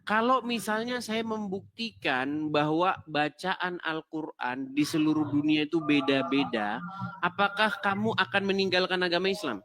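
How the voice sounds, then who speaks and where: High, bright-voiced mocking debate host. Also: male voice, moderate pace, slight background noise. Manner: mocking, laughing, talks over the caller. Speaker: host of a live interfaith debate stream. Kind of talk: live call-in debate.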